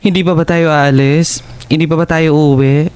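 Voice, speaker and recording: A man playing a worried, caring young female friend, gentle and hesitant, in an audio horror drama. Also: fairly high pitch, fairly even intonation, moderate pace, loud music behind the voice, close to an everyday voice. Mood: uneasy.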